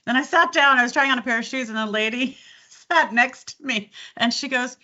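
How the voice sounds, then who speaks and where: A woman with a Californian accent, a dialect coach, chatting in an interview and telling animated stories with frequent laughs. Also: high-pitched, fairly quick, lo-fi sound. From California, United States. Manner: animated storyteller, laughs often. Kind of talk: casual interview chat.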